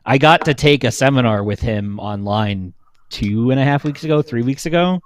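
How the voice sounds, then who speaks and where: A man in his thirties speaking as a panelist in a casual chat, recounting things in an informal, halting, conversational way. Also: mid-range voice, animated.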